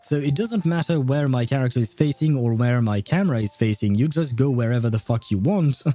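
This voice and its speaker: Male streamer, easygoing mellow tone, wry informal chat, dry mic, light game music. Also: medium pitch, a little fast. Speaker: game livestreamer. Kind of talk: casual live gaming commentary, chatting with viewers.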